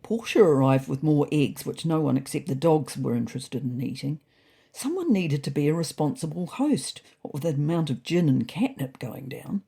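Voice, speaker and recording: A woman narrating a storybook diary as a fussy, indignant male house cat, in a wry, prim, deadpan manner. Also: sits low, moderate pace, vocal fry, slightly rough, exaggerated voice. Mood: wry, worried. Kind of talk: first-person storybook narration.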